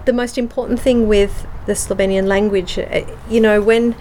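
A woman with an Australian accent in a casual interview, speaking with many pauses and ums, moving between English and Slovenian. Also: mid-range voice, steady tone, dark tone, slight background noise, rough recording. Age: adult with children. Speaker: guest.

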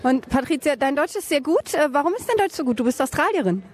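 A woman reporter with a slightly high voice does an interview on location. She asks the parents and children short questions in a friendly, curious way. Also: sing-song, quick pace, a faint crowd.

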